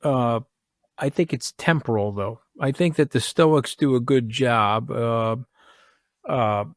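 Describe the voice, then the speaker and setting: A man's voice: mid-range voice, no room sound, studio quality. A man in his sixties hosting a podcast interview, brash and teasing in casual chat. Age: 60s. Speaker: podcast host.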